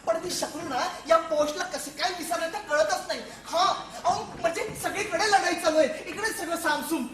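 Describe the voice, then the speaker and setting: A woman playing a lonely male soldier in a comic stage play, excitable and rambling, stammering and repeating words. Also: very high voice, a little fast, few pauses. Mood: pleading, nervous. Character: jittery, lonely, talkative male soldier at a forgotten post. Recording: echoey stage recording with music.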